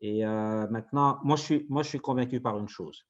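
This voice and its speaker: A man's voice: medium pitch, moderate pace, lo-fi sound. A man speaking as a guest on a radio forum discussion, hesitant, with many restarts and fillers. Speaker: panel guest.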